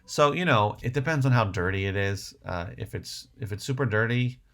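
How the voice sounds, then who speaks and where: A low, gravelly male voice with plenty of vocal fry. He talks casually as a livestream host, rambling a little and thinking out loud while he answers questions. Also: normal speed.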